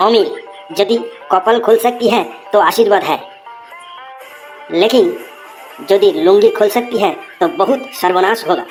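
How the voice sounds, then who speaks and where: A woman's voice: medium pitch, a little mellow, natural voice. A woman voicing an earnest, cheeky Bengali schoolboy in a comedy cartoon, deferential and reciting like a pupil. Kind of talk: comic cartoon voice acting. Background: music.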